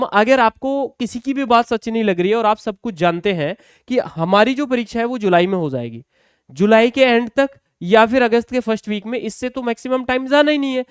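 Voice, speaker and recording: High-pitched man explaining, clear voice. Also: dry recording, studio quality. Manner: conversational, repeatedly checking in with tag questions. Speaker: exam coaching teacher. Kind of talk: informal explainer of exam news to students.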